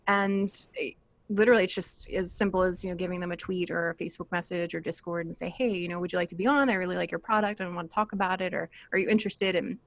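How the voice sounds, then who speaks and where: A woman's voice: lowish voice, steady tone, quick pace, no echo. A young woman chatting casually and informally on a panel, giving advice as a podcaster, often giggly.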